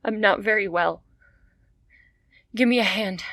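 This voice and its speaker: A woman's voice: medium pitch, a little slow, fairly bright tone, no echo. An actress playing a poor, frightened young woman in a radio drama, pleading and emotional. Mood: defiant.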